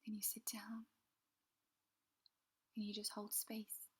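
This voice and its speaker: Woman, meditation guide; slow breathy whisper, flat and calm delivery. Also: medium pitch. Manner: soft, slow, soothing whisper.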